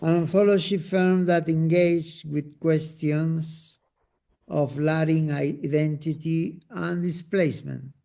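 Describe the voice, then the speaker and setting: A male interpreter renders questions in a slow, deliberate way. His voice is gravelly and mellow, and it sits a bit high for a man. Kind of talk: measured spoken translation.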